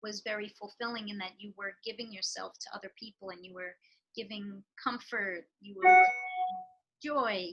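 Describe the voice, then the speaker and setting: Interview guest: woman with a New York accent, bright and forceful tone, reflective and earnest. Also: medium pitch, moderate pace, muffled recording, faint music behind. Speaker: real estate professional. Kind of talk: interview answers.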